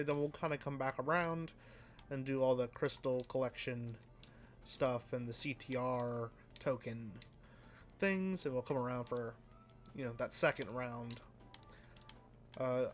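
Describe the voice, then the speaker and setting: A man casually commenting while he plays a racing game, rambling and self-deprecating, with a flat, slightly nasal voice that trails off into mumbles. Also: mid-range voice, normal speed. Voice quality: sounds flat and a bit nasal, by his own account. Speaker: let's play host.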